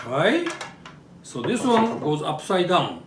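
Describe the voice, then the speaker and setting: A man's voice: high-pitched, sing-song, slow pace. A man from Japan with a Japanese accent gives a live show-and-tell demonstration, often checking in with his audience through short questions. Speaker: presenter demonstrating traditional toys. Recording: roomy, distant mic with background noise.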